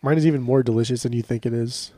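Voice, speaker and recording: A man's voice: mid-range voice, steady tone, fast talker, mellow, no room sound. Stuffed-up man teasing his co-host. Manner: teasing, playful banter. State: congested, stuffed up. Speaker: podcast co-host. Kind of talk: casual chat.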